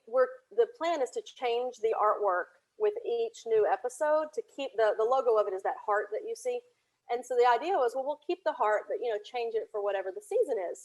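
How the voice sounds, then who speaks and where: A woman's voice: fairly high pitch, darkish tone, poor audio. A woman talking casually as an interview guest, explaining her work in a chatty way. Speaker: podcast guest, audio drama producer.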